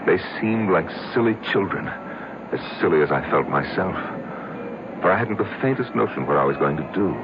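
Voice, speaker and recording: Brooding confessor, extremely low voice, measured, detached, 1970s radio drama narration, heavy background noise. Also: male voice, animated. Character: brooding, detached man secretly tormenting trapped people. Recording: muffled, very noisy 1970s radio broadcast.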